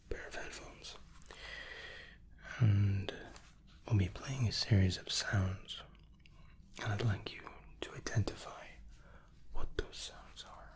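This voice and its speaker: A man doing an ASMR roleplay as an examiner, speaking softly and in whispers, gently reassuring and repeating words. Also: lowish voice, vocal fry. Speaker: ASMR host playing an examiner.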